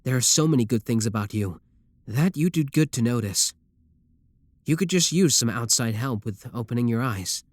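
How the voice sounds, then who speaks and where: A man's voice: mid-range voice, lively intonation, normal speed, no echo, lightly acted. Male actor as yandere sibling kidnapper, ASMR roleplay. Mid-range, very dry sound, reassuring, adoring, casually possessive. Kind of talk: scripted ASMR roleplay addressed to the listener.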